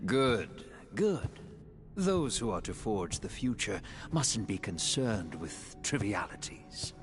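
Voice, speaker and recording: Suave, very slow-talking dark elf. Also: male voice, lowish voice, big pitch swings, over music. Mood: sly, amused. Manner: theatrical, drawn-out, mock-courteous delivery. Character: suave, theatrical male dark elf, slyly amused. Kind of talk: scripted video game cutscene acting.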